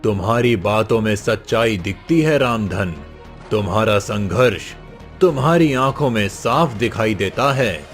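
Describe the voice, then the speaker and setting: A man puts on an elderly cartoon king, grave yet kind. The voice is low and very measured, giving each phrase solemn weight over faint music. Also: expressive. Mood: kindly, solemn. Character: kind, grave old king on his throne. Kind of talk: Hindi moral-story cartoon dialogue.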